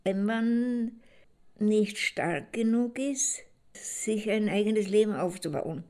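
Actress as a creaky-voiced, musing ex-wife. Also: female voice, mid-range voice, dark tone. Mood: reflective, resigned. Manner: halting, musing, pausing to think. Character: reflective woman looking back on a hard marriage to Erich. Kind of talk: audio drama monologue, reminiscing.

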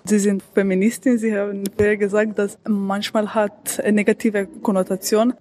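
Even-toned woman interviewer, faint background noise. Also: mid-range voice, normal speed. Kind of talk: slightly halting interview questions.

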